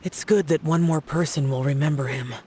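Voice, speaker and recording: An actor playing a solemn, youthful immortal guardian in a video game, grave, restrained and measured. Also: male voice, a little high, dark tone, a little hiss or hum, close to an everyday voice. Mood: grave, subdued. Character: ancient youthful male yaksha guardian, solemn, reserved, burdened.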